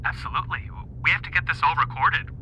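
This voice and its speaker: Man playing an earnest, bright-voiced professor on phone. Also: on the high side, quick pace, a little hiss or hum, rough recording. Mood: grave, urgent. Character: eager male professor, earnest scholar warning of returning threat. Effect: satellite phone voice. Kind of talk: audio drama acting.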